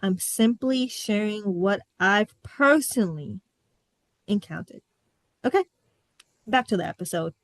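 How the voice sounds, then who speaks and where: A woman's voice: mid-range voice, no room sound. A young adult woman from the US Midwest hosting a podcast, telling personal stories in a candid, sassy way. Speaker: podcast host. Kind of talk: casual podcast chat.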